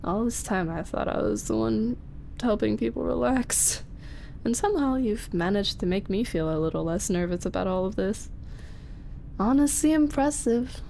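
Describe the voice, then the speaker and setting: A woman's voice: medium pitch, animated, creaky, a little hiss or hum. Woman playing a shy, whispery young roommate. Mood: tender amused. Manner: soft, half-whispered, giggly, halting. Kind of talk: ASMR audio roleplay.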